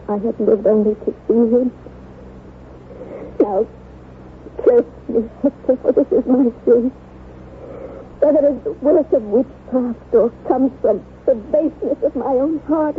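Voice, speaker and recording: A tinny, faintly noisy radio drama recording of a woman acting a dying wife tormented by guilt. Her voice is high and slow, with solemn, anguished, archaic phrasing. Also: close to an everyday voice. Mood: anguished confession. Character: guilt-ridden dying wife haunted by a ghostly lover.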